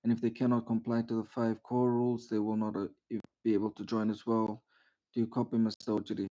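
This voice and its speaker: A man with a flat, mellow voice coaching a recruit in an online roleplay game. He speaks formally and keeps adding "sir". His voice sounds dull and lo-fi, like game voice chat. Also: on the low side, fairly quick. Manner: instructive, formal, ends lines with "sir", many "um"s. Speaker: military unit trainer in a roleplay game. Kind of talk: giving a trainee feedback over game voice chat.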